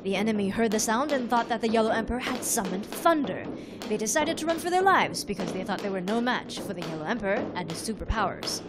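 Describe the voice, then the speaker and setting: A woman in her thirties, narrating a travel show as its host in an upbeat, chatty tour-guide manner, with loud music behind her. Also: fairly high pitch, expressive, fast, noisy background. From United States. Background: loud background music.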